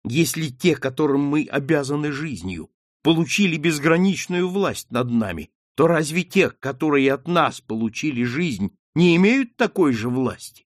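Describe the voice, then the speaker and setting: Man putting on a young woman, anguished daughter, impassioned rising pleas, higher voice, dry close sound. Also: expressive, moderate pace, dry recording. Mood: pleading. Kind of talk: audiobook character reading.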